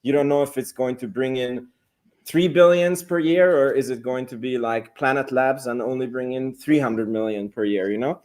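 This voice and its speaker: Male analyst guest, mid-pitched, conversational and unscripted, halting delivery with repeated words while reasoning. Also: darkish tone. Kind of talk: casual discussion.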